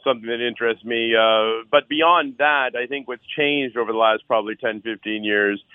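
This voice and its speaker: A middle-aged man from Six Nations in Canada, a council candidate on a phone-in radio interview, earnest and loud-voiced, with many uh fillers and restarts. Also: medium pitch, moderate pace, smooth, no room sound. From Six Nations, Ontario, Canada. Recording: dry tinny phone line.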